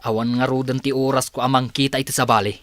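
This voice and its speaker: An actor playing a curt, short-tempered company boss and husband in a Filipino radio drama, with a Filipino accent. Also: male voice, medium pitch, little pitch movement, fast, no room sound, close to an everyday voice. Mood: scornful.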